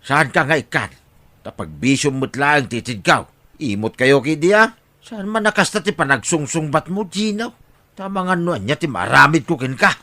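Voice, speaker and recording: Man acting as stern, expressive Ilocano father, bright-voiced. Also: fairly high pitch, moderate pace, dry recording. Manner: earnest, admonishing, emphatic. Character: stern, worried older father of a struggling rural family. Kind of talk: Ilocano radio drama acting.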